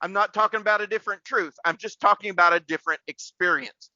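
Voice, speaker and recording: A man's voice: high voice, fast talker, sharp tone, no room sound, dull audio. A man hosting a casual faith podcast like a preacher, rambling and repeating words for emphasis.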